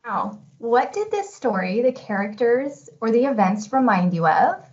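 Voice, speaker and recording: A woman's voice: a little high, very animated, measured pace, darkish tone, slight background noise. A woman presenting an instructional webinar as an educator, guiding listeners with open-ended questions. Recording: dull lo-fi webinar audio.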